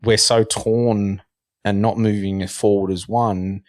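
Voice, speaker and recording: A man's voice: lowish voice, little pitch movement, slow, no room sound, studio quality. A middle-aged man with an Australian accent, talking earnestly in a casual podcast discussion and often stumbling over the first words of a sentence. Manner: earnest, repeats words when starting sentences. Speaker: podcast guest.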